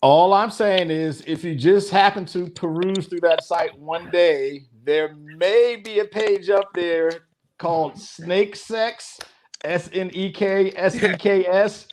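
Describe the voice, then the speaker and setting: A man's voice: high voice, slow, a crowd in the background. A man in his late thirties co-hosting a podcast, speaking in a wry drawl as he jokes. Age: 30s. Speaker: podcast co-host. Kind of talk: casual banter. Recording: audible background noise.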